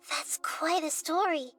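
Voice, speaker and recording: A woman voicing a gentle, wise, childlike girl deity in a video game, speaking in a measured, thoughtful way. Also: sits high, full character voice.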